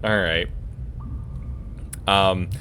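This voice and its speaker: Bright, low-voiced man doing game commentary. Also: fairly even intonation, extremely slow, slight background noise. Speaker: host of gaming videos. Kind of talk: casual let's play commentary.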